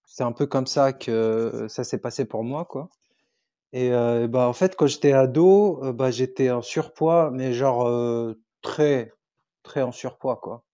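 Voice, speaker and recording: A man's voice: mid-range voice, measured pace, warm tone, highs cut off. A young man from Tunisia whose first language is Arabic, chatting as a podcast guest and hesitating often as he searches for words. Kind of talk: casual interview chat.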